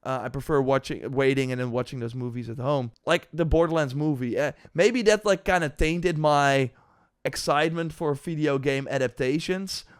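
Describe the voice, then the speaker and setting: A man giving casual, unscripted gaming commentary in a halting, rambling way, with animated emphasis and little acted-out asides. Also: mid-range voice, clear, resonant tone. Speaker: gaming news streamer reacting to announcements and chat.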